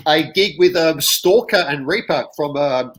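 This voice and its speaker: Uk-born guy doing a band interview, kinda high voice, keeps tripping over his words. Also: lively intonation, fairly bright tone, a little hiss or hum. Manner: halting, stammering, many restarts. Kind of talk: casual interview.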